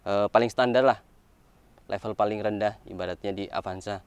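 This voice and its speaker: A man's voice: on the low side, fairly even intonation, moderate pace, clear, resonant tone, dry recording. A man giving a casual walkaround car review, explaining things informally point by point.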